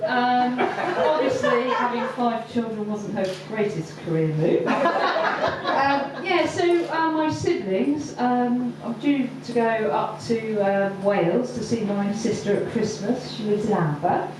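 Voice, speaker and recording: A woman's voice: fairly high pitch, noisy background. Hesitant woman telling stories in an echoey room. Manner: hesitant, lots of ums, slow deliberate delivery. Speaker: stand-up comedian. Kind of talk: stand-up storytelling. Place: comedy venue with audience laughter. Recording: echoey far-off live room recording.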